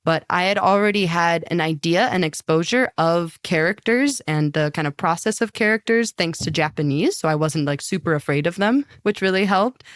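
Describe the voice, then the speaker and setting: A woman's voice: lowish voice, normal speed, hardly any pauses, no room sound, clean studio sound. A young American woman, a guest on a podcast, chatting casually and upbeat with many "like" fillers. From United States. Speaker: podcast guest, language learner and singer. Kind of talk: casual interview chat.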